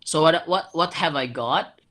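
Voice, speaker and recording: A young man with an Indonesian accent, speaking haltingly with many fillers and restarts as he shares tips in an informal virtual talk. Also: a little high, fairly bright tone. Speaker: former exchange student speaker.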